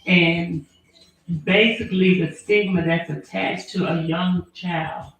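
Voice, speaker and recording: A woman attorney, answering interview questions in an earnest, measured way with frequent fillers. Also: lowish voice, steady tone. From Alabama, US. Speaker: attorney and former prosecutor. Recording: very echoey room.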